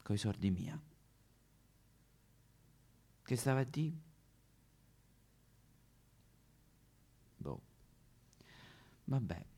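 A male actor puts on a Roman streetwalker, a bitter, lonely woman on a theatrical stage monologue. The delivery is coarse and confrontational, full of slang. The pitch swings widely from line to line. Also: animated, unhurried, vocal fry, warm tone, slightly rough, heavily acted. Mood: wistful. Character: brash, weary Roman female street prostitute, bitter and lonely.

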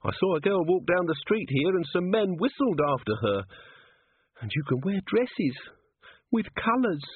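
A man's voice: sits high, very animated, moderate pace, breathy edge, dry recording, narrow, tinny audio, pitched above the performer's own voice, full character voice. A man voicing an anxious, timid female dwarf with a bitter streak in a storybook reading. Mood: wistful, miserable. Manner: fretful, confiding.

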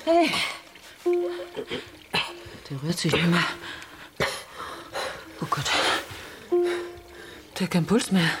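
A woman's voice: fairly low pitch, very animated, takes it slowly, long pauses, over loud music. An actress playing an unbothered, curious woman with a terse, colloquial way of talking in a naturalistic radio drama. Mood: shocked.

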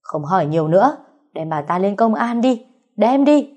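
A female storyteller plays an enraged older matriarch in an audio story. She is domineering and accusing, firing repeated questions in a husky voice. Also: medium pitch, expressive, normal speed, voice pushed up, close to an everyday voice. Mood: commanding. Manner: hissing, shouted repeated accusations. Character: furious older mother-in-law, accusing and domineering.

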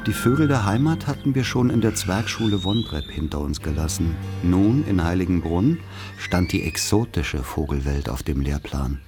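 A man playing a wry narrator who recalls his boarding-school boyhood, in measured literary radio play narration. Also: deep voice, expressive, music in the background. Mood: wry, deliberate.

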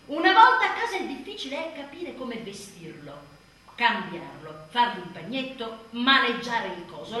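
A woman's voice: fairly high pitch, pushed and bright, exaggerated voice. An actress playing an overwhelmed new mother in a wry, emphatic theatrical monologue, with abrupt swings in volume. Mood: sardonic. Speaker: stage actress performing a monologue. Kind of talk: theatrical comic-dramatic monologue. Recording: echoey room, distant mic, faint noise.

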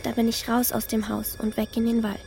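A woman's voice: a little high, little pitch movement, fairly quick, gravelly, mellow, a little hiss or hum. A woman voicing the sullen, haunted ghost of a little girl in a radio drama, in short, childlike, repeated phrases. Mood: sullen, hurt.

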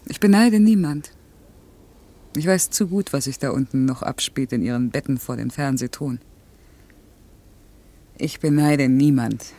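A woman's voice: extremely low pitch, warm tone, breathy edge, heavily acted. An actress playing a bitter, wounded woman in a naturalistic radio drama, terse and sardonic, sometimes dropping to a whisper. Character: Regina, bitter, wounded woman being left by her lover.

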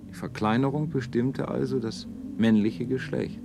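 An actor playing a detached scientist observing a colony, lecturing in a clinical way in a radio play. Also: male voice, mid-range voice, moderate pace, vocal fry, noisy background, close to an everyday voice. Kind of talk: 1970s German radio play acting.

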